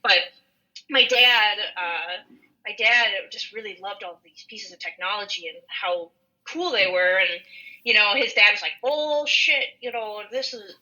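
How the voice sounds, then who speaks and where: Bright-voiced young Minnesotan woman. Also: medium pitch, measured pace, poor audio. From rural western Minnesota, USA. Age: 20s. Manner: rambling, enthusiastic, peppered with "like". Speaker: podcast co-host. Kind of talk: casual podcast chat. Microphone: internet voice call.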